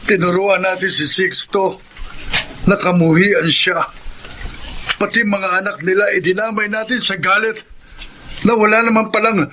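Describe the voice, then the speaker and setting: A remorseful, questioning younger brother in a radio drama. His voice is high and bright with a Filipino accent, on a slightly noisy track. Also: steady tone, unhurried, pauses often.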